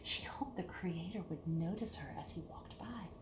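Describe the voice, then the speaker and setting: A woman reading a picture book aloud to children in an expressive, deliberate storytelling manner, with hushed dips and emphatic lifts. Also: on the low side, in a whisper. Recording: echoey room with clear background hiss.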